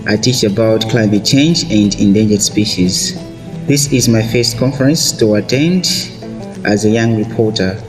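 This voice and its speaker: A man's voice: fairly low pitch, measured pace, rough recording. Earnest young man over background music. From Malawi. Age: 20s. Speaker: student reporter. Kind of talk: scripted self-introduction. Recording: music bed underneath.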